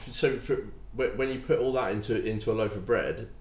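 Deep-voiced man, emphatic and restarting. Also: brisk. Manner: animated, emphatic, repeats words as he restarts. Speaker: baker and bakery owner. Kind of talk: casual podcast interview. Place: bakery room with hard walls. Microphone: desk mic on stand. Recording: roomy echo, faint room noise.

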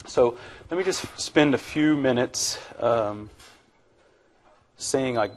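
A man in his thirties from Virginia giving a relaxed, chatty university lecture to a classroom, with plenty of ums and uhs. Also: mid-range voice, takes it slowly. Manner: relaxed, chatty, filler-heavy.

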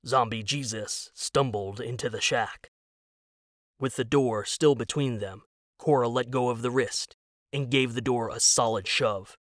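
A man narrating a scripted story as a dramatic audiobook, with deliberate, suspenseful delivery. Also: mid-range voice, no echo, clean studio sound.

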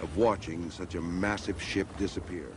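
A man's voice: low-pitched, fairly even intonation, moderate pace, noisy background. A man reading scripted narration for a documentary.

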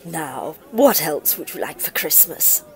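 A woman's voice: sits low, animated, measured pace, faint music behind. An actress playing a snobbish, indulgent wealthy mother, haughty and gushing, in an audio drama. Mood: haughty, doting.

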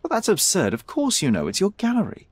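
A man's voice: high-pitched, huge pitch swings, a little fast, breathy edge, dry recording, heavily acted. A man playing a young, brilliant detective in a video game, cool, rational and precise, with a slightly haughty manner. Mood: assertive, dismissive. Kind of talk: video game cutscene acting.

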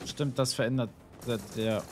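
A young man giving casual, rambling commentary while playing a shooter, with a slightly hoarse voice from a cold, swearing often and filling gaps with ums. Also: medium pitch, steady tone, normal speed, noisy background. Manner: chatty, swears often, rambling with many fillers. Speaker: gaming YouTuber. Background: game music and gunfire.

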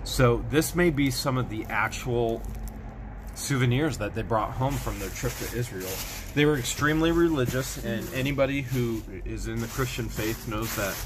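A man in his thirties hosting a casual unboxing, talking in an excited, playful, teasing way into a handheld phone inside a large storage warehouse. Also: medium pitch, noisy background. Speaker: host.

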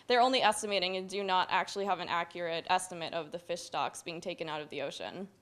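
A young woman presenting recommendations from a podium in a lecture hall, earnest and steady with occasional restarts. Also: mid-range voice. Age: young adult. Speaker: student presenter. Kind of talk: academic presentation.

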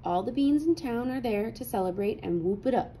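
A woman reading a children's picture book aloud with animated, dramatic emphasis. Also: fairly high pitch, brisk, a little hiss or hum, low-quality recording. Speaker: read-aloud host.

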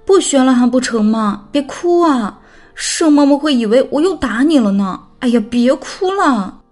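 A woman's voice: high-pitched, animated, normal speed, a little mellow. An actress playing a playful, caring, feisty young woman in an audiobook drama, teasing and coaxing like a big sister. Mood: flustered, soothing. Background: background music.

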